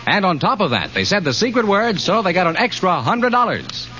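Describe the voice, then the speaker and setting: High, animated male 1940s radio announcer. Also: fairly quick, slight background noise. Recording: dull old 1940s radio recording.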